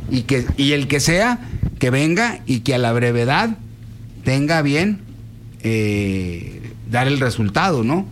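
A man's voice: medium pitch, very animated, slow, a little hiss or hum. A man hosting a casual football talk show on the radio, rambling and restarting his phrases. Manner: rambling, restarts and repeats himself. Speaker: sports radio host.

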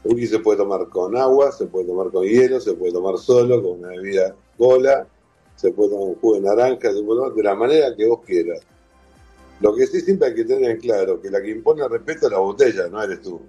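Low husky Argentine man. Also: a little fast, muffled recording, soft background music. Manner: warm, chatty, explains with lists and repetition. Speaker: guest, whisky museum owner. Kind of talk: casual interview talk.

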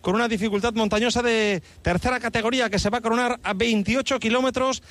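A man with a Spain accent reports on cycling for live radio. His voice is strikingly high and comes through dry. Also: fairly even intonation, fast, fairly bright tone, no room sound.

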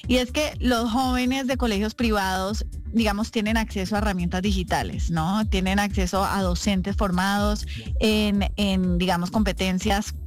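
A woman raised in Costa Rica, speaking as an interview guest in a measured way, with many fillers. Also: mid-range voice, steady tone. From Colombia, raised in Costa Rica. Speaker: guest, company CEO. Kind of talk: radio interview. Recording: music playing faintly underneath.

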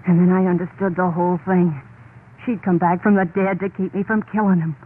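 An actress playing a haunted, unhinged wife confessing to murder in an old radio horror play, intense and halting, insistently repeating herself. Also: female voice, on the low side, fairly even intonation, natural voice. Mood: dread. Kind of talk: old-time radio horror monologue, dramatic first-person narration. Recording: tinny, band-limited old radio recording, faint noise.

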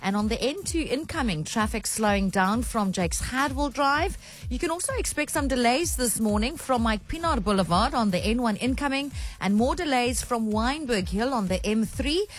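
A woman with a South African accent, co-hosting morning radio, reading traffic briskly and chatting into a studio mic. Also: a little high. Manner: brisk, lively delivery. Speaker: radio co-host, traffic reader. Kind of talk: traffic report and studio banter. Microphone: studio microphone.

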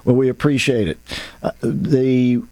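A man's voice: medium pitch, fairly even intonation, a little slow, dark tone, no echo, low-quality recording. A man hosting a talk show, rambling and folksy, with many restarts and asides. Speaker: radio show host. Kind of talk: casual radio talk show.

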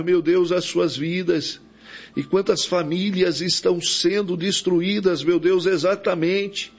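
A Brazilian man leading an extemporaneous evangelical prayer as a preacher, pleading with frequent invocations, in dull lo-fi audio. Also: on the high side.

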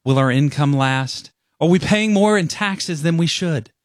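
Male ad spokesman, measured and persuasive, dry close-mic sound. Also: a little high, expressive, no room sound. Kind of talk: scripted radio ad read.

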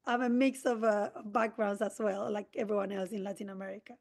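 A woman's voice: mid-range voice, little pitch movement, fairly quick, vocal fry, a little mellow. A woman from Argentina, an interview guest who explains at length in an earnest, enthusiastic way with brief restarts. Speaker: community organisation president.

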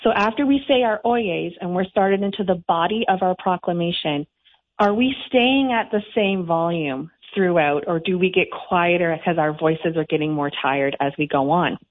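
A woman from Canada explaining things clearly in a radio interview, heard over the phone. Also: lowish voice, moderate pace. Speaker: town crier guild president, interview guest. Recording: tinny phone line.

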